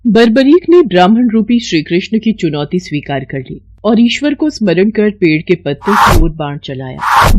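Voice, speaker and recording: A woman's voice: mid-range voice, slight background noise. A woman narrator reading a scripted devotional story in a storytelling style.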